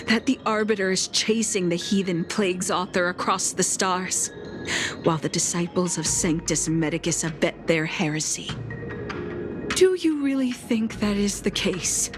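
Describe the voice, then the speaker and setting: An actress playing a calm, proud woman leader of a secret sect in a video game, with formal, measured speech over music. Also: medium pitch, close to an everyday voice. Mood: pleading, grave. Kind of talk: dramatic video game voice acting. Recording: loud background music under voice.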